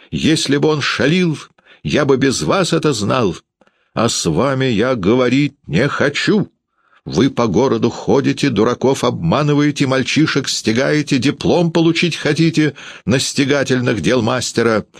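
A man voicing an excitable, indignant father in a storybook, shouting in outbursts. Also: low voice, husky, dry recording, studio quality, heavily acted. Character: hot-tempered, excitable short-legged father, indignant and blustering.

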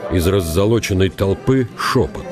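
A man's voice: low-pitched, normal speed, music in the background, natural voice. A man narrating a historical radio play in a dramatic literary style.

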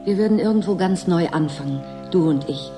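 An actress playing a hopeful, pleading woman in a radio drama, gently persuading her companion. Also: lowish voice, brisk, close to an everyday voice. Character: hopeful, pleading female companion at a remote station. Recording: music behind the voice.

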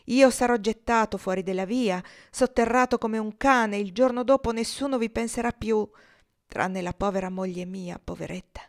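A woman's voice: mid-range voice, moderate pace, voice pushed up, close to an everyday voice. A woman reading as a desperate, pleading young husband. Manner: pleading, bitter.

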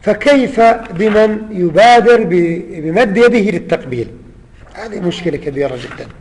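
A man's voice: high-pitched, lively intonation, normal speed, darkish tone, in a large hall. A man teaching a religious lesson. He recites lines of verse, repeats them and explains them in a plain teaching manner. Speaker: Islamic scholar teaching.

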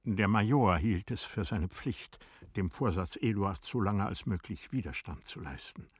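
A man narrating a literary radio drama in a deliberate, formal storytelling manner. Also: lowish voice, animated, slightly rough, no echo, studio quality.

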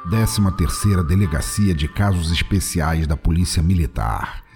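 A man's voice: low-pitched, moderate pace, music in the background. A man reading scripted narration for an audio drama.

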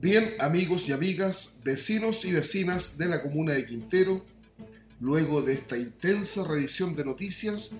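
A man with a Chilean accent hosting a radio news programme in a formal, scripted style. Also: on the high side. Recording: roomy echo, music bed.

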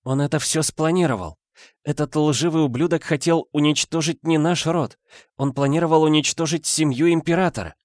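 Guy putting on a bitter shaken noble auntie, higher voice, grave and dramatic, dry room. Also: moderate pace, darkish tone, dry recording, natural voice. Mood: horrified, bitter.

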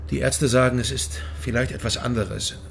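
A man's voice: mid-range voice, fairly even intonation, moderate pace, vocal fry, slight background noise, natural voice. An actor playing a guilt-ridden male ex-soldier in a radio drama, brooding and pleading. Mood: anxious. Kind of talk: radio drama acting and inner narration.

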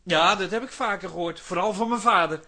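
An eager, arty son in a radio drama, easily flustered and stammering. The voice is high for a man, forceful and bright, yet husky. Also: a little fast, full character voice. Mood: eager, casual. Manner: hesitant, stammering. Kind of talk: Dutch radio drama acting.

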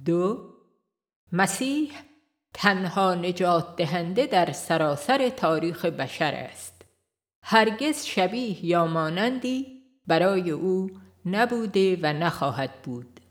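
A woman reading scripted audiobook narration in a measured, formal manner. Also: on the low side, lively intonation. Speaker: audiobook reader.

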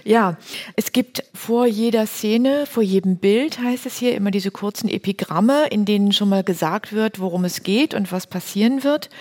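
A woman's voice: mid-range voice, a little mellow. A woman hosting a conversational literary interview, informally putting questions and affirming her guest's points. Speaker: host.

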